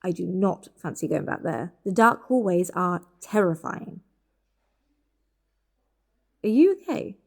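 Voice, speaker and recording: An actress playing a dry, concerned sister in a naturalistic audio drama, with a wry, conversational delivery. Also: female voice, lowish voice, lively intonation, slow, gravelly, pauses often, darkish tone, exaggerated voice. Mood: uneasy, concerned. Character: dry, concerned adult sister keeping vigil.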